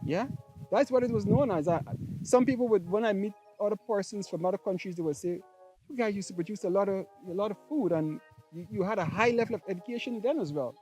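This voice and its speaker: A man's voice: sits high, fast, darkish tone, breathy edge, soft background music, rough recording. A man from Guyana, telling stories in a casual chat with many hesitations. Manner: hesitant, many ums, laughs easily. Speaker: guest. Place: outdoors on a farm.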